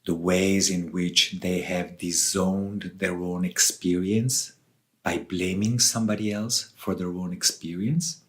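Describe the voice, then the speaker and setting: A man from southern Switzerland giving reflective interview answers as a guest, speaking deliberately in short phrases with pauses. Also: sits low, fairly even intonation, a bit bright. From Switzerland, southern part. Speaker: author and ayahuasca facilitator, interview guest.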